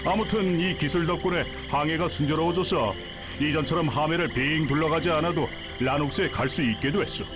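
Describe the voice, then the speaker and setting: A man's voice: fairly high pitch, a little fast, natural voice. A man voicing a talkative, rustic middle-aged harbor villager in a Korean game story, with familiar, blunt speech. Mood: chatty, proud. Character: chatty, folksy middle-aged male port villager. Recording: dull sound under music.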